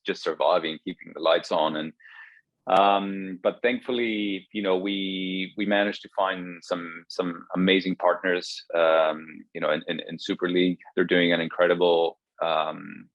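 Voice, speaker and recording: A very low-voiced man in his thirties from Greece talks through a guest interview, stopping and restarting his sentences often. The video call audio sounds dull and dry, with no room around it. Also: no echo. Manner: hesitant, many restarts and fillers. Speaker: guest, startup co-founder. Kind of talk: remote interview conversation.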